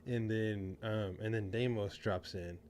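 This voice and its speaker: A man with a somewhat low voice chats as a podcast co-host. He speaks informally and a bit tentatively, with some noise behind him. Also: fairly even intonation, a little slow, a little mellow. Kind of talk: casual podcast chat.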